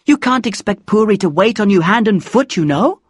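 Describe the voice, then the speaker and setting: A reader acts out a middle-aged mother in an audiobook, her voice pitched up high. She is angry and possessive, nagging and scolding with insistent complaints. The sound is close and dry. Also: expressive, fairly quick, slightly rough, no room sound.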